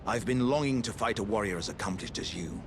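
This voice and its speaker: An actor playing a proud, jovial living warrior jar in a video game, speaking in a grandiose, old-fashioned heroic manner. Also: male voice, on the low side, fast talker, noisy background, natural voice. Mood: hearty, admiring. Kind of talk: video game character dialogue.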